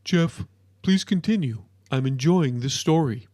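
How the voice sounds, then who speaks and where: A man's voice: expressive, unhurried, mellow, no echo, studio quality. Man doing a cartoonish big amphibious storybook creature. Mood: curious. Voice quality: low, cartoonish. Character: big, slow-seeming amphibious creature, friendly, patient. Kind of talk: storybook character voice.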